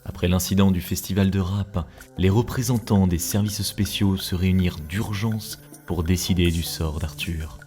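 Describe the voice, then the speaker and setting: A man's voice: low voice, steady tone, normal speed, hardly any pauses, darkish tone. A man reading scripted narration for a cartoon story. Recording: music behind the voice. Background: background music.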